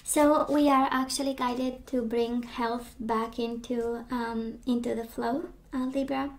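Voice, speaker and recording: A woman giving a casual tarot reading, chatty and reassuring, her voice strained as she loses it and clears her throat. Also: on the high side, steady tone, a little slow. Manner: chatty, reassuring, many fillers and restarts. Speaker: tarot reader. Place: indoors at a table of tarot decks.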